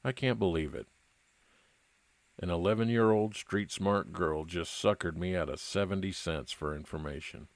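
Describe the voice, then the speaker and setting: A man's voice: low-pitched, normal speed, no echo. A man playing a wry, world-weary private investigator in an old-time radio noir detective drama. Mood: reflective, wry.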